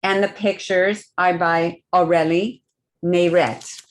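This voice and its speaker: A woman hosting a children's storytime, reading a picture book aloud expressively and pausing to put questions to young listeners. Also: on the low side. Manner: expressive, deliberate, simple phrasing with questions to children.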